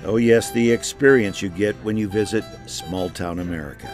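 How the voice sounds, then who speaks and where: A man's voice: on the low side, normal speed. A man narrating a scripted documentary in a folksy, conversational storytelling way. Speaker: narrator and host. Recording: music behind narration.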